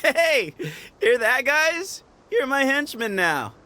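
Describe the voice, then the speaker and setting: A man's voice: extremely high pitch, sing-song, slow, bright, forceful tone, a little hiss or hum, exaggerated voice. An actor playing a sly, teasing man who leads a hidden band in the desert, in a video game cutscene, with a playful, mocking manner. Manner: playful, mocking, sing-song.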